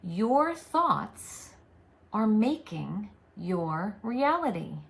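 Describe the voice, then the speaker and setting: A woman delivering channeled spiritual guidance in a calm, unhurried way. She speaks as a collective "we", and her voice carries a noticeable gravelly fry. Her pitch sits in an ordinary middle range for a woman. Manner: slow, deliberate, soothing delivery.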